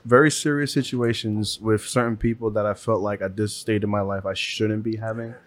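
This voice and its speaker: A young man co-hosting a casual podcast, talking in relaxed slang with lots of fillers. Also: fairly low pitch, a little fast, no echo. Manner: laid-back slang, frequent laughs and fillers. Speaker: podcast co-host.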